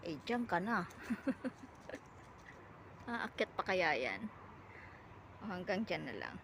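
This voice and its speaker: A woman's voice: lowish voice, sing-song, takes it slowly, fairly bright tone. A woman with a Filipino accent chatting casually outdoors as she films a home video. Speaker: vlogger filming pets and wildlife at home. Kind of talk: casual chat to camera. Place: outdoors in a yard. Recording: clear background noise, outdoor ambience.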